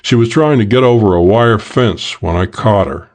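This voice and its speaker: A man reading a first-person crime audiobook in a measured, dry hardboiled delivery. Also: fairly low pitch, a little mellow. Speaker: audiobook narrator.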